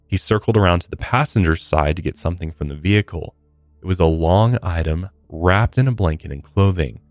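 A man with an American accent and a low voice hosts a true-crime podcast. He reads a prepared script and drops in blunt, conversational commentary. The sound is close and dry, with faint music underneath. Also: brisk, dry recording. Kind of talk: scripted true-crime narration with casual asides. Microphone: close studio podcast microphone.

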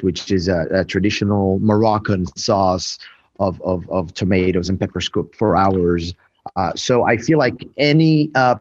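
A man who owns a restaurant answers interview questions, halting with many fillers and restarts. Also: fairly low pitch. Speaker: restaurant owner. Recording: dull lo-fi sound.

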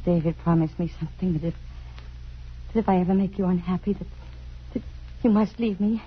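An actress playing an anguished, pleading woman pianist in love, with a husky voice, in 1950s radio drama style. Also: on the low side, a little fast. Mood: wistful, uneasy. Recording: muffled vintage radio broadcast, faint music.

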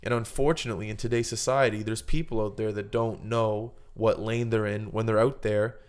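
A man speaks in a fairly low voice. He chats casually as a podcast host, laying out his points with mock seriousness. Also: steady tone, fairly quick, smooth. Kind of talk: casual chat.

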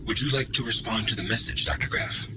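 Guy acting as a formal polite ai butler type, lowish voice, scifi podcast, noisy hum behind. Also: close to an everyday voice. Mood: calm, dutiful. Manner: formal, courteous, measured. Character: polite, formal male AI of an undersea base. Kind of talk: science fiction audio drama.

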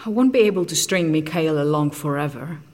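An actress playing a guarded, earnest scientist in an audio drama, speaking precisely and dryly. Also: female voice, sits low, animated, a little fast, a little mellow. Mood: tense, subdued. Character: guarded female scientist secretly making truth serum.

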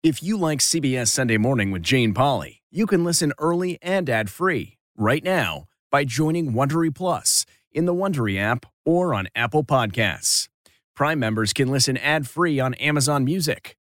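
A man reading a podcast promo in a brisk, promotional style. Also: mid-range voice, expressive, a bit bright, no room sound, studio quality. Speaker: podcast announcer. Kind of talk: read promo.

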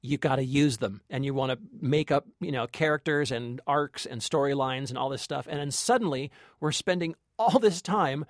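A man's voice: mid-range voice, moderate pace, few pauses, no echo, clean studio sound. A man co-hosting a film review podcast in casual chat, emphatic and often repeating himself for effect. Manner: emphatic, repeats himself, mimics lines mockingly.

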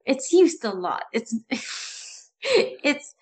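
A woman co-hosting a casual talk about improv, animated and often restarting her sentences with a laugh. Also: high voice, drawn-out words. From Philippines. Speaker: improv podcast co-host. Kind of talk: casual chat.

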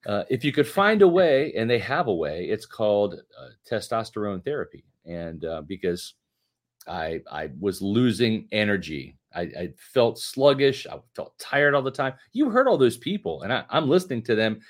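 A man's voice: on the low side, very animated, moderate pace. A man in his fifties co-hosting a late-night sports podcast, joking and emphatic, reading an ad in his own words. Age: 50s. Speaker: podcast co-host.

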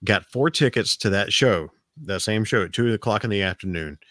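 A middle-aged man tells a long story on his podcast. His voice sits very low and has turned slightly raspy. He rambles, restarts sentences and repeats words. The sound is dry and close, with no room echo. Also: moderate pace, a bit bright. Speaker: podcast host. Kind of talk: casual storytelling chat.

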